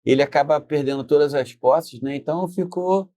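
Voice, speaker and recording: A middle-aged Brazilian man telling stories casually as a guest, haltingly, repeating himself and often checking for agreement. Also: a little high, dark tone, no room sound. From Brazil, born in Espírito Santo. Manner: halting, repetitive, often seeks agreement with tag questions. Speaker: interview guest, business founder. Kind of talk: casual storytelling in conversation.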